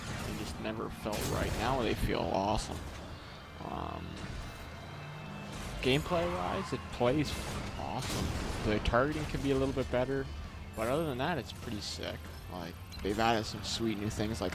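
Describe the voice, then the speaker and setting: Male game commentator, slangy and unscripted, fry-heavy voice, rambling delivery, blaring game soundtrack underneath. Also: on the low side, animated, normal speed. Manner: rambling, slangy, laid-back. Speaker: video game reviewer. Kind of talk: casual unscripted gameplay commentary. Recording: loud game music and vehicle noise behind. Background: game music and vehicles.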